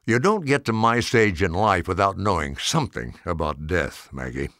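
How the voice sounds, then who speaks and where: A man voicing a gentle, mysterious old toymaker in a storybook, hushed and knowing. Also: sits low, expressive, moderate pace, no echo, clean studio sound, pitched below the performer's own voice.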